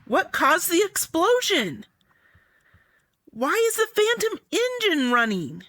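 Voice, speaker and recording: A woman's voice: extremely high pitch, wildly swooping pitch, slow pace, dry recording, heavily acted. An actress playing a tense, skeptical woman scientist in an audio drama. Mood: urgent, accusing. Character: tense, sharp female scientist, urgent and skeptical. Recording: loud background ambience under voice.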